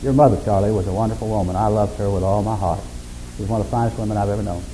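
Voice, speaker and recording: A man preaching a sermon in a folksy, unhurried way, with self-deprecating humor and a monotonous, mellow voice. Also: lowish voice, noisy background. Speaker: pastor.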